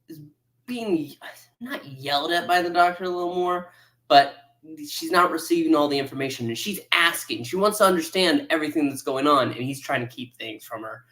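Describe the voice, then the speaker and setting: A man's voice: fairly high pitch, fairly bright tone. A man chatting casually as a review panelist, with a playful way of reacting. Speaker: audio drama review panelist.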